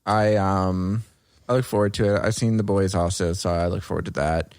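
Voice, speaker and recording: A man's voice: low-pitched, steady tone, moderate pace, darkish tone, clear, resonant tone, no room sound, clean studio sound. A man co-hosting a podcast in casual chat, with a laid-back, slangy way of talking. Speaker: podcast co-host.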